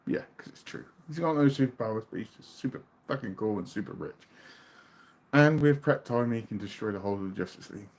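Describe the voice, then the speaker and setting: A British man from the UK hosting a casual livestream, chatting loosely and reading out viewers' questions, often trailing off into quieter asides. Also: medium pitch, lively intonation, fairly quick, low-quality recording. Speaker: livestream host. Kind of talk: casual live chat reading viewer comments.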